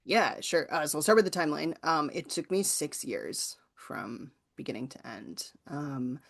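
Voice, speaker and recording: A woman's voice: sits low, a little slow, creaky. A woman guest author answering audience questions informally, in a thoughtful, hedging, conversational way. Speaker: guest author and workshop presenter.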